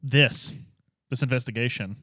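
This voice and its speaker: A man's voice: medium pitch, steady tone, moderate pace, sharp tone, dry recording. A man playing a tabletop game, chatting informally and asking questions in casual improvised roleplay.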